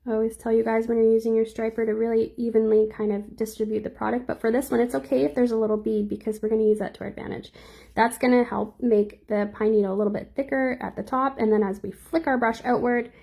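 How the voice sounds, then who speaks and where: A young woman with a slightly squeaky voice, chatting casually through a live nail art tutorial and answering viewers as she works. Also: level tone, quick pace, vocal fry, mellow. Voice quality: a little squeaky.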